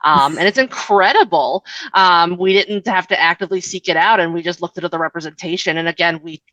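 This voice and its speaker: A woman's voice: fairly low pitch, a little fast, a bit bright, no room sound, lo-fi sound. A woman speaking as an interview guest in a casual, chatty and informal way, often dropping in "like" and "you know". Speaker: interview guest, comics writer and editor.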